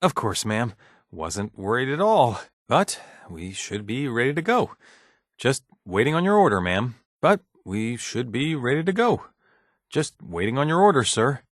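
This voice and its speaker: An actor playing a mild, bureaucratic scientist in a video game, polite, measured and slightly uneasy, with a hesitant, deferential manner. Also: male voice, mid-range voice, very animated, dry recording, clean studio sound. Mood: polite, businesslike. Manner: hesitant, deferential, measured office-professional speech. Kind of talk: video game dialogue acting.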